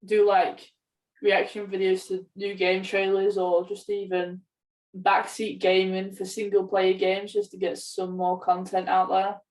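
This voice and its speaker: A woman's voice: medium pitch, completely flat delivery, unhurried, a little mellow. A woman co-hosting a podcast in a casual, conversational style. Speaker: podcast co-host, gaming video creator. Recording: echoey room, distant from mic.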